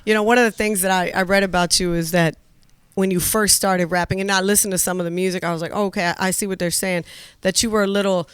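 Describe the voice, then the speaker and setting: A woman hosting a radio show, speaking with a lisp and reacting quickly and encouragingly to her guest. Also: medium pitch, fairly even intonation, clear, resonant tone, no room sound. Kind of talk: casual interview chat.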